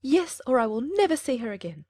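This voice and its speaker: A querulous middle-aged mother in an audiobook drama. Her high-pitched voice swoops with theatrical complaint and nagging insistence, recorded close and dry. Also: sing-song, a little fast, darkish tone, dry recording. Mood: indignant.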